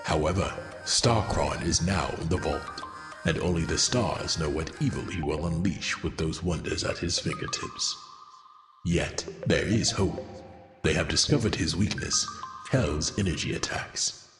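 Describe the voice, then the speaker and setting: A man's voice: rumbling low, a little slow. A man playing a grave, theatrical narrator of a space hero audio drama, formal and portentous in his scripted delivery. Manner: portentous, formal, archaic turns of phrase. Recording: echoey long reverb, music behind.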